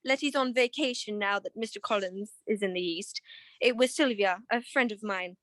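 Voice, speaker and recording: A woman's voice: mid-range voice, normal speed, fairly bright tone, no echo, lightly acted. A woman playing an evasive, anxious, well-to-do married client in a radio detective drama, speaking in a genteel, hesitant way. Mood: genteel, explaining.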